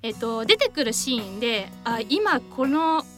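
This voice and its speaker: A woman's voice: on the high side, sing-song, a little slow, music in the background. A woman hosting a radio show, talking casually and politely with her co-host. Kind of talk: casual program talk.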